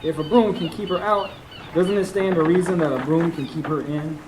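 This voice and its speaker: An actor playing an earnest, scheming Southern husband in a radio drama, with a folksy, confiding way of talking. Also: male voice, on the high side, steady tone, normal speed, natural voice. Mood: urgent, scheming. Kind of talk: radio drama acting with first-person narration. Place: stage before a live studio audience. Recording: live radio show with clear background noise.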